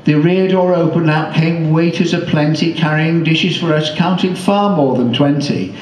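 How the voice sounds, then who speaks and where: A man recites a humorous story in rhyme with measured delivery. His voice sits a little high. The room echoes and the microphone is slightly distant. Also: darkish tone, dull audio. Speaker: poet performing own work. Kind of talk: comic rhyming poem recital.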